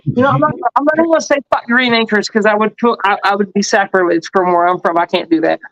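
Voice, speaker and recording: A man who has been drinking, rambling with profane, animated riffs in a casual chat. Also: high voice, quick pace, no echo. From Texas. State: tipsy from drinking. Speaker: show host. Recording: dry, slightly dull lo-fi sound.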